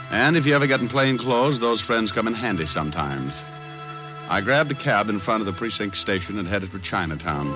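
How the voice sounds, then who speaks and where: A tough, wisecracking private eye who narrates his own case in a 1940s radio drama. His voice is low, dry and clipped. The old broadcast sound is dull, with music underneath. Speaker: detective narrator.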